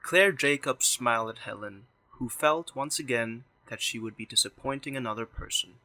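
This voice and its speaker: A man's voice: medium pitch, moderate pace, bright, forceful tone. A man reading scripted story narration in the third person for an audio drama.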